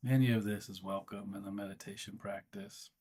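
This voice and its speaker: A man leading a guided meditation as the guide, speaking gently and without hurry, often pausing mid-sentence. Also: fairly low pitch, steady tone, husky.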